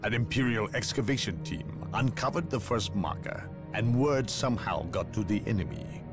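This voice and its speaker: A man's voice: lowish voice, over music, natural voice. A man voicing a cold, guarded inquisitor over swelling score. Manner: measured, guarded, coldly lecturing. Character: cold secretive male inquisitor, middle-aged, unyielding. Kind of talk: video game cutscene voice acting. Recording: voice over orchestral game score.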